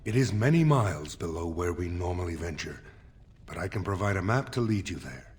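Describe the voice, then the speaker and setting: A man voicing a dignified dwarf lord seeking a throne in a video game, speaking in a measured, formal manner. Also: sits low, lively intonation, slight background noise. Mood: courteous. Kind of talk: video game character dialogue.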